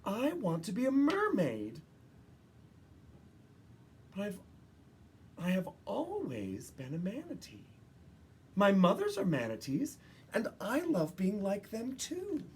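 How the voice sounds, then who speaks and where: A woman's voice: mid-range voice, wildly swooping pitch, slow pace, long pauses, a little mellow, a little hiss or hum, voice pushed up. A woman voicing a sensitive, self-conscious young manatee girl in a storybook, speaking earnestly. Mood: wistful.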